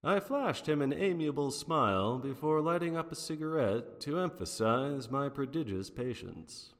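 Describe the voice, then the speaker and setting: A man's voice: on the high side, expressive, moderate pace, natural voice. A man playing a wry, unflappable private detective in a 1940s noir radio drama, with a theatrical, wordy manner. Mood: storytelling. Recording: long reverberant hall sound.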